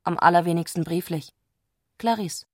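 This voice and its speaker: An actress playing an eccentric, excitable woman speaking an intimate letter in intense, abrupt short sentences, in a literary radio play. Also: lowish voice, normal speed, no echo, clean studio sound, natural voice. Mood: eager, confiding.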